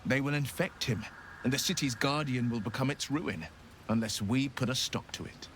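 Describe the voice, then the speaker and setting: A man's voice: medium pitch, normal speed, fairly bright tone, slight background noise, natural voice. A man playing an earnest, resolute young nobleman turned warlock adventurer in a dramatic video game, speaking in a formal, sincere way. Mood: urgent, defiant.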